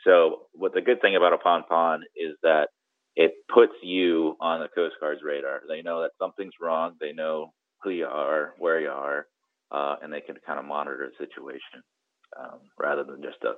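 A man's voice: a bass voice, flat delivery, moderate pace, no echo, phone-quality sound. A man giving conversational, step-by-step instruction as an expert guest, often checking in with the listener. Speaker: guest boating radio expert.

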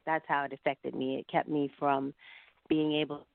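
Woman singer chatting in an interview, lowish voice, kinda reflective, sounds like a phone call. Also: level tone, moderate pace, gravelly, no echo. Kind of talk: conversational interview answers. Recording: narrow-band, phone-like line.

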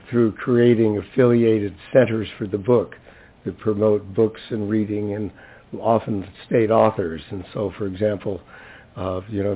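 An older man with a hoarse voice gives an informal, chatty, lightly humorous introduction at a public event. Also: lowish voice. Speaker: library center director introducing a speaker. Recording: thin, phone-like event recording.

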